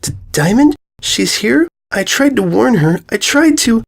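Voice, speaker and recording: Scared young filly, voiced by a man, high-pitched, halting, stammering, bewildered, storybook horror. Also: very animated, vocal fry, full character voice. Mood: panicked, stammering. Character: frightened young filly pony, stammering and confused. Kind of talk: dramatized horror fan fiction reading.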